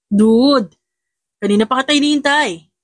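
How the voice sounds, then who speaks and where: A woman's voice: high voice, normal speed, no room sound. A woman voicing an easygoing young married man, a friendly brother with a breezy, casual manner, in an audiobook.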